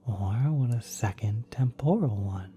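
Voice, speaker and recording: A man voicing a kind, doting celestial being in a soft, breathy, often whispered ASMR roleplay, tender and halting. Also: on the low side, expressive. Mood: patient, explaining. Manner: tender, halting, gently coddling. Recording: cosmic ambient sound effects behind.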